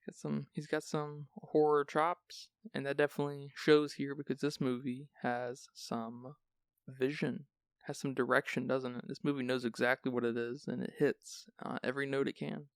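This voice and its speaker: Male podcast host: sleepy-sounding, gravelly, loose rambling delivery, dry close sound. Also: medium pitch, little pitch movement, moderate pace, no room sound. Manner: chatty, rambling with restarts. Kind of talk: casual film discussion.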